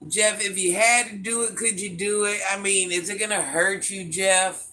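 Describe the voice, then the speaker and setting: Sharp-voiced woman teasing her viewers. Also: mid-range voice, steady tone, normal speed. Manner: teasing, talks straight to viewers by name, laughs often; calls herself Granny. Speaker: livestream host reading viewer comments. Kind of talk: casual livestream chat.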